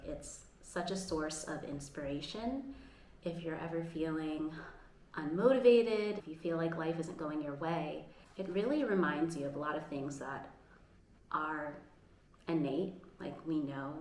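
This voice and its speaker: A woman's voice: sits low, darkish tone, slight background noise. An American woman vlogging casually at home, earnest and upbeat, sometimes restarting her sentences. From United States. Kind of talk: casual vlog talk. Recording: echoey room with faint ambience.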